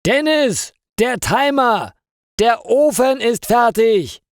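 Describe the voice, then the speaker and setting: A man's voice: extremely high pitch, expressive, slow, dry recording, studio quality, pitched above the performer's own voice, full character voice. A man voicing a stern, scolding bakery boss in an audiobook story, speaking emphatically. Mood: urgent shouting.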